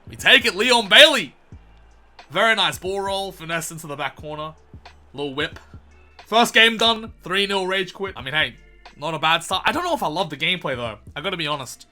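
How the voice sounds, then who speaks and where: Bright-voiced young man, a bit croaky. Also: high voice, a little fast, soft background music. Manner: chatty, calls viewers boys, erupts into loud excited shouts. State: slightly croaky, strained voice. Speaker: gaming video host. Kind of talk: casual gaming commentary.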